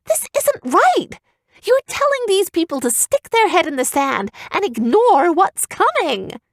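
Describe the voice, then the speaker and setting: A woman's voice: sits high, sing-song, normal speed, a little mellow, no room sound, pitched above the performer's own voice. A woman voicing a fiery young heroine who speaks urgently and defiantly.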